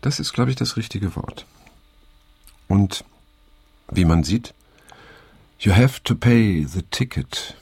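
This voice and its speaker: An actor playing a weary, reflective middle-aged man in naturalistic radio drama, speaking haltingly and pensively with a gravelly, husky voice. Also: lowish voice, lively intonation, darkish tone, dry recording, exaggerated voice. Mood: pensive, wistful. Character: weary middle-aged male survivor of a hippie circle, reflective.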